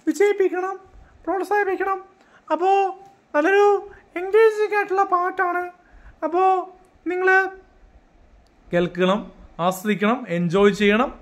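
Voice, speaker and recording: A young man with a Malayali accent giving a casual, halting and repetitive promotional talk into a phone at arm's length in a room at home. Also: very high voice, a bit bright. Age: young adult. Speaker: song promoter. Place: small room at home, indoors.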